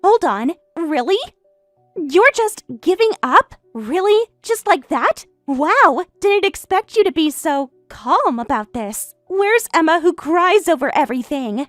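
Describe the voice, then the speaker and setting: A catty young home-wrecker with a very high, bouncy voice that swoops up and down as she taunts and brags, played by a woman. The sound is dry and close, and faint music plays under it. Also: sing-song, measured pace, a bit bright, no echo, exaggerated voice. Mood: taunting. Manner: taunting, boastful, indignant. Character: smug, catty young woman who stole her best friend's husband. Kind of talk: voiced story drama.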